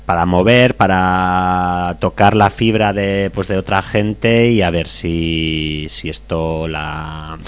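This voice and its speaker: A man hosting a casual political radio chat, relaxed and leading the talk with short prompts. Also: deep voice, slow, few pauses, smooth.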